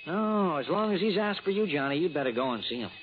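An American actor playing an exasperated, scoffing insurance agent who frets over business, in a 1960s radio drama. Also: male voice, fairly high pitch, expressive, fast, natural voice. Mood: urgent, coaxing. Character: exasperated, dismissive male insurance agent, fretting over premiums. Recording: muffled, noisy old radio recording.

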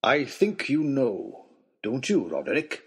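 A man voicing a calm host in a storybook tale, stern and measured, with a quiet hardness. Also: medium pitch, heavily acted.

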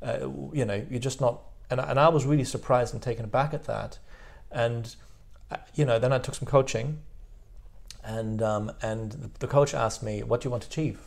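Man, gravelly voice, forties, podcast guest, reflective, halting with restarts. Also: medium pitch. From Belgium. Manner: thoughtful, full of restarts and repeated words. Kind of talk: reflective interview chat.

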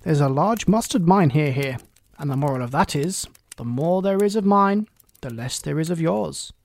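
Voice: mid-range voice, expressive, normal speed, dry recording, close to an everyday voice. A reader voicing a pompous, moralizing and cloyingly agreeable Duchess, a rambling, self-pleased woman, in a storybook.

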